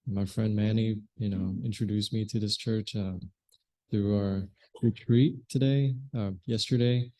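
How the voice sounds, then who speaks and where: Earnest man from Vietnam, fairly low voice. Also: fairly even intonation, unhurried, warm tone. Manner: earnest, with fillers. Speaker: first-time church visitor. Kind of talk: informal self-introduction.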